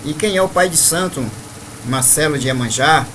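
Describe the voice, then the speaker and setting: A man in his fifties from northeastern Brazil, telling his spiritual life story to viewers at an unhurried pace with frequent tag questions. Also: on the high side, noisy background. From Maranhão, Brazil. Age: 50s. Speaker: spiritual leader.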